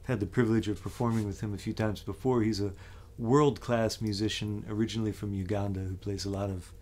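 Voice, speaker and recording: A middle-aged man hosting a casual chat in his home basement studio, speaking in a relaxed, hesitant way with frequent ums. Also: lowish voice, fast talker. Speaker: host musician. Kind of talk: casual video intro and chat.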